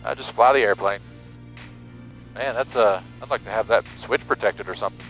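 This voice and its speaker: A man's voice: on the low side, moderate pace, a bit bright, low-quality recording. Man, pilot, casual and joking, clipped radio readbacks, cockpit headset, engine drone, faint music. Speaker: pilot and vlog host. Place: small twin-engine airplane cockpit in flight. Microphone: aviation headset.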